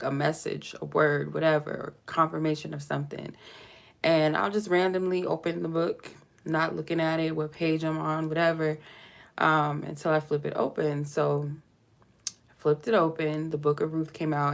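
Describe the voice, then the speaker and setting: Woman with a deep croaky voice, stuffy from a cold, rambling through a tarot reading. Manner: meandering, chatty, lightly joking. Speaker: tarot reader. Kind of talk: casual spiritual reading to camera.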